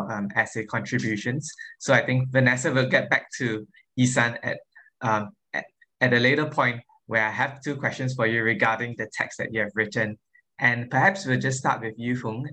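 Guy moderating a panel, bright clear voice, polite, kinda hesitant with ums. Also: medium pitch, low-quality recording. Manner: polite, structured, with hesitant fillers.

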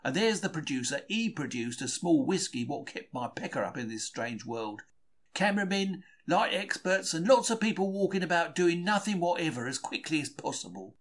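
Animated, higher-voiced British man reading a diary. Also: moderate pace. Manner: colloquial, wry storytelling with old-fashioned British slang. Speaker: reader of a diary for a podcast. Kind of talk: scripted first-person narration, dramatised diary reading.

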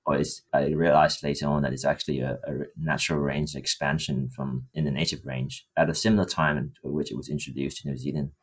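A young man from Thailand with an extremely deep, husky voice. He talks conversationally as a research student being interviewed. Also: flat delivery, brisk, few pauses. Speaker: PhD student guest.

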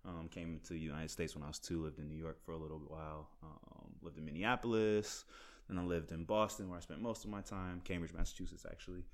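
Deep-voiced man rambling with ums. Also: fairly even intonation, fast talker. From Liberia. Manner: friendly, rambling, many ums. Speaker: podcast guest. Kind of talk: casual podcast chat.

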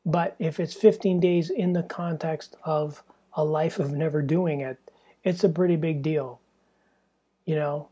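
A young man talks into a podcast mic in a small, stuffy room, his voice worn hoarse and husky from fatigue. His tone is dark and mellow, and he rambles along in a loose, candid way, swearing freely as he goes. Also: on the high side, steady tone, unhurried, dull audio. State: tired and hoarse, losing his voice. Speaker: podcast host. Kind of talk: casual solo podcast monologue.

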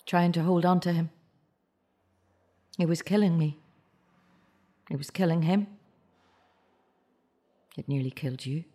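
A woman acting a bereaved, searching mother in an audio drama, naturalistic and halting, with anxious care. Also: on the low side, warm tone. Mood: reflective, forgiving.

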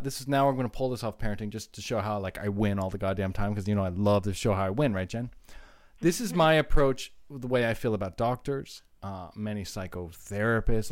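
A man's voice: on the low side, no room sound, clean studio sound. A man hosting a podcast, brash and boastful, teasing his co-hosts and swearing freely. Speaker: host. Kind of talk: irreverent podcast chat.

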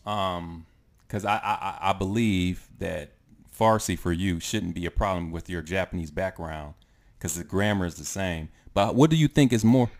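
A man asking curious, halting questions in a casual chat. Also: deep voice. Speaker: interviewer. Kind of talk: casual conversation.

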